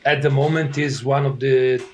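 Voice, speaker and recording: A middle-aged man from Italy with an Italian accent, a soccer coach answering interview questions in halting English full of fillers and restarts, his throat a little irritated. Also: medium pitch, steady tone.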